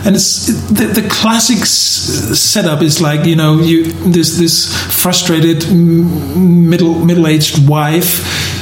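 A man chatting casually and telling stories in an animated way. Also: a little high, slow, few pauses, faint music behind. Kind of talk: casual chat. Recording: echoey room with background noise.

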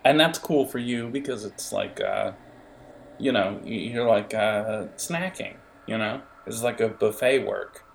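A man improvising the narration of a tabletop adventure as game master, in a halting, conversational way. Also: lowish voice, very animated, vocal fry, a little hiss or hum.

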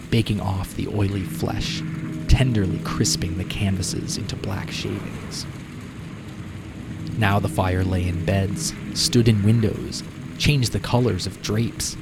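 A man narrating a scripted short story as audio drama, with deliberate, dramatic phrasing. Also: sits low, vocal fry. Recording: prominent music behind the narration.

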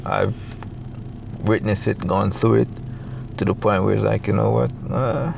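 A young adult man from Jamaica with a Jamaican accent, talking in a reflective, halting way with many fillers as a guest in a casual interview. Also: fairly low pitch, steady tone, vocal fry, dull audio, noisy background.

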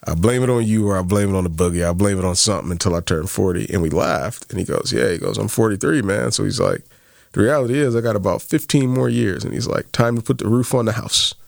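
A man's voice: fairly low pitch, fast, gravelly, no echo, studio quality. A man in his thirties co-hosting a faith-based podcast, telling stories in a casual way with many fillers. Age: 30s. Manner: storytelling, quoting others, many fillers like "like". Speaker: podcast co-host.

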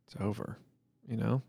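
A man's voice: warm tone, dry recording, clean studio sound. A man in his thirties from the Cincinnati area hosting a podcast, joking and teasing in casual chat. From Cincinnati area, USA. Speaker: podcast host.

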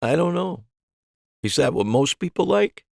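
Man voicing an anxious, high-pitched male spectator. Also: very animated, unhurried, vocal fry, darkish tone, no echo, studio quality, heavily acted. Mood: uncertain. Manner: hesitant, worried, stammering repeats. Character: anxious, literal-minded, vulnerable male magic-show spectator. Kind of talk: acted dialogue in an audio short story.

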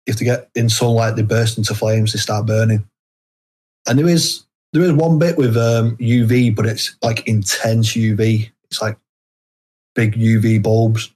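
A British man with a mid-pitched voice and a flat, even delivery. He chats casually as an interview guest, working through his explanations in halting steps. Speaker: guest, indie horror author.

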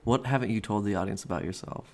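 A man's voice: fairly low pitch, fast talker, a little mellow. A man co-hosting a comedy podcast, chatting casually with dry, teasing humour. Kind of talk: casual chat.

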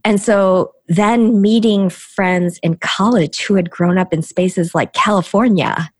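A middle-aged woman, raised in the United States with Korean as her first language, speaks as a podcast guest, telling her story in a thoughtful, halting way with many restarts. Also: mid-range voice, no room sound. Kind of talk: reflective storytelling interview.

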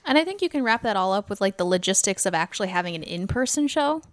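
A woman's voice: mid-range voice, very animated, quick pace. A woman co-hosting a podcast, chatting casually and emphatically in informal, repetitive phrasing. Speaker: podcast co-host. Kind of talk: casual chat.

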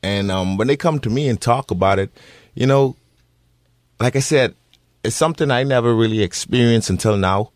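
A man in his thirties with a Bahamian accent, answering interview questions earnestly with many pauses and restarts. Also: mid-range voice, no room sound, studio quality. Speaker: interviewee, parent.

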